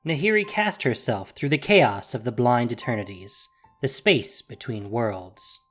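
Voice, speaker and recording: A narrator reading a scripted fantasy story in a measured, theatrical way with deliberate pauses. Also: mid-range voice, lively intonation, fairly bright tone, breathy edge, soft background music.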